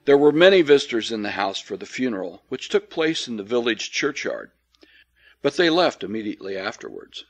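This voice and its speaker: A man reads a classic ghost story as an audiobook narrator. His delivery is measured and formal, with a dryly cynical edge. The voice sits at a middling pitch. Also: very animated. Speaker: audiobook reader. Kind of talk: dramatic scripted narration of an old ghost story.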